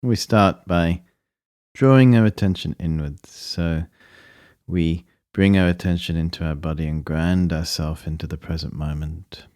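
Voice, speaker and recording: A man leading a guided meditation, speaking in a calm, measured and gently instructing manner. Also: sits low, no room sound, studio quality.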